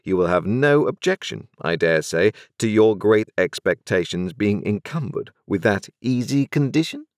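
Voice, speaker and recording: A man's voice: on the low side, expressive, moderate pace, few pauses, dry recording, studio quality, pitched above the performer's own voice. A man voicing a brusque, overbearing middle-aged male lawyer in an audiobook, speaking in a clipped, formal, cross-examining way. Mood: stern, formal. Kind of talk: audiobook character voice.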